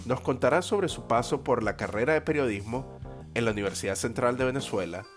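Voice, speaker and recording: A man's voice: mid-range voice, fairly quick, soft background music. A man hosting an interview podcast, reading a scripted intro and then asking eager, friendly questions that often restart. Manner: eager, friendly questioning, repeats words when starting questions. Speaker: podcast host.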